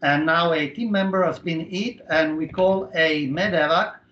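A middle-aged man explaining a software demo step by step with halting, non-native phrasing, over a video-call headset. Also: a little high, slight background noise. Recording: dull, roomy online call audio.

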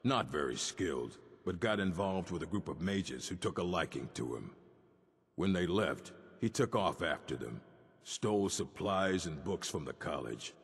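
An actor playing a gruff, learned old orc librarian in a video game, with a gravelly rasp and a measured, curt delivery. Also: male voice, low-pitched, lively intonation, full character voice. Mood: dry, measured. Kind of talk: video game dialogue acting. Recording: long reverb of a stone hall.

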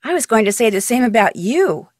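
A young female sleuth from a mystery video game, curious and polite. Her voice sits on the high side and moves with animated intonation. The sound is dry and close. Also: fairly quick, no echo. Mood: curious, probing. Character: inquisitive, polite young female amateur detective.

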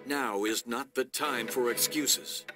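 A man's voice: mid-range voice, takes it slowly, close to an everyday voice. A man voicing a grave, authoritative lord who commands knights in a video game, speaking formally. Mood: solemn, commanding. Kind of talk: scripted video game voice acting. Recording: music under the voice.

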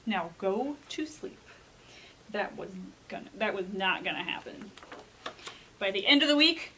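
Brief: American woman, children's librarian type, highish voice, expressive picture-book delivery, some room noise behind. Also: poor audio. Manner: expressive, enunciated storytelling with dramatic pauses. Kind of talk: presenting and dramatic picture-book reading.

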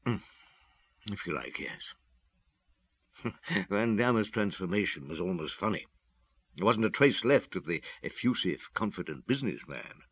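An actor playing a reflective police detective, recounting a past case in a measured way for a radio drama. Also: male voice, deep voice, animated, fairly bright tone, breathy edge, dry recording, heavily acted. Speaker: detective narrator. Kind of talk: old radio drama storytelling.

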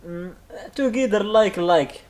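A man's voice: high-pitched, darkish tone. Young Moroccan man teasing on webcam. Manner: playful, teasing, halting English. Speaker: vlogger chatting with strangers. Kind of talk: casual video chat. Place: small indoor room.

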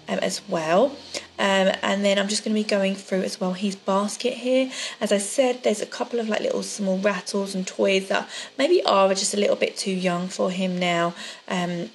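A woman's voice: mid-range voice, gravelly, rough recording. A woman, chatting casually through a clean-with-me voice-over, with lots of ums. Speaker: vlogger. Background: soft background music.

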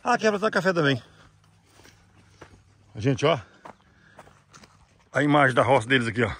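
A young man chatting with farmers outdoors, asking quick, upbeat questions while filming on a phone at arm's length. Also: medium pitch, big pitch swings, fairly bright tone, slightly rough. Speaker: vlogger interviewing farmers. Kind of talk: casual rural interview. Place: outdoors on a hillside farm. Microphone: phone held at arm's length.

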